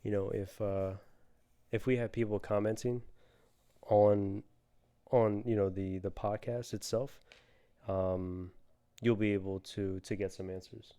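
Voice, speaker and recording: Young man, podcast co-host, mellow dark tone, smooth clear voice, rambling casual chat. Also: fairly low pitch, steady tone, measured pace. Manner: loose and rambling, laughs often.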